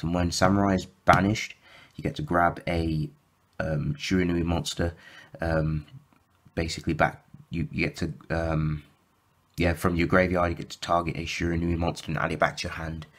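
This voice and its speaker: Croaky low-voiced man walking through cards. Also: level tone, moderate pace, fairly bright tone. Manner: rambling with frequent fillers and self-corrections. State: slightly croaky from sore throat. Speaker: YouTube deck profile host. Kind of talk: casual explanatory walkthrough.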